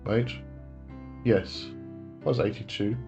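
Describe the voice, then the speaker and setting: Low-voiced English man chatting casually. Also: fairly even intonation, takes it slowly, gravelly, darkish tone, muffled recording, faint music behind. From England. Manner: rambling, self-correcting, chuckles often. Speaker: livestream host answering chat questions. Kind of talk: casual live chat.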